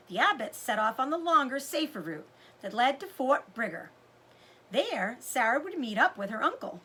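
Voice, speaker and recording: A woman giving an expressive read-aloud narration of a children's novel. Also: sits high, slight background noise.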